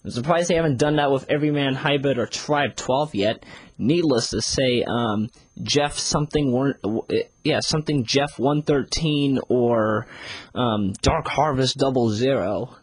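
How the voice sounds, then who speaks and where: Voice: mid-range voice, measured pace, husky, no room sound. A reviewer giving a casual spoken audio review, rambling and often repeating phrases to restart. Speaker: series reviewer.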